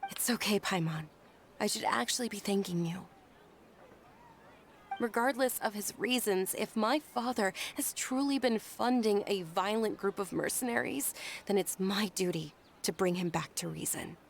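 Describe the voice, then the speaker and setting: A woman playing a gentle, dutiful young noblewoman in a video game, polite, composed and earnest. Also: mid-range voice, normal speed, gravelly, a little hiss or hum, natural voice. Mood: determined.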